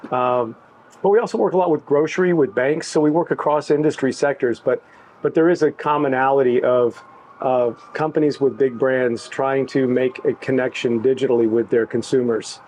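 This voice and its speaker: A middle-aged man talking about his work in an easygoing, halting way, with many restarts. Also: mid-range voice, a little mellow, slight background noise. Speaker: tech executive, interviewee. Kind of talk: casual backstage interview.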